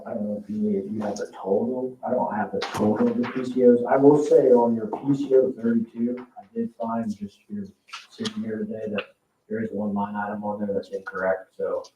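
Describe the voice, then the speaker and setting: Distant, roomy American man presenting haltingly. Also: on the low side, dark tone. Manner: halting, hesitant. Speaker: construction project manager presenting to a town council. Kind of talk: informal budget presentation at a public meeting. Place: indoor town council meeting room. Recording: roomy, distant, dull meeting-room audio with faint noise.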